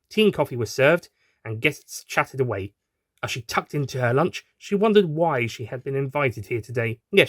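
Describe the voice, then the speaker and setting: A man narrates a scripted audio story. His voice sits at a middling pitch. The sound is dry, with next to no room in it. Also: dry recording. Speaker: writer and narrator.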